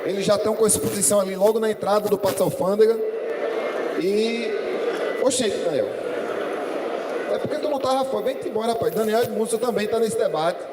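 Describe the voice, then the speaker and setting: A man's voice: sits high, fairly quick, pauses often. A man with a Pernambuco accent moderating a debate, chatty and joking with regional slang. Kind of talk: casual event moderation. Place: large conference hall. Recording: reverberant hall with audience noise.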